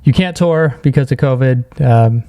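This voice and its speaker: Male interviewer, dark mellow tone, average pitch, casual halting conversational questions. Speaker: podcast host, interviewer.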